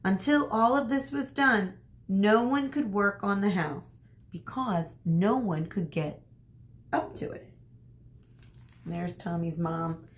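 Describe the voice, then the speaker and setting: A woman speaking as an elementary school teacher, reading a story aloud to children and pausing to explain things. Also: mid-range voice. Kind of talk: read-aloud lesson for children.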